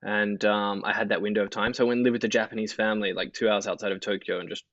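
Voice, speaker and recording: A man's voice: on the low side, steady tone, very fast, fairly bright tone, no echo. A man in his thirties with an Australian accent, a podcast guest talking candidly and self-deprecatingly, laughing easily. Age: 30s. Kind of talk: casual conversational interview. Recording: dull lo-fi, dry sound.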